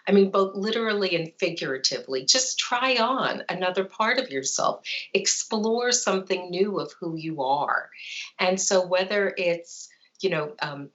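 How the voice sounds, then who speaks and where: A woman's voice: fairly low pitch, expressive, moderate pace, fairly bright tone. A woman in her fifties, a psychologist speaking as a guest on a video call interview, talking reflectively with many you-knows. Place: home room with plant and bookshelf. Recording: dull lo-fi video call audio.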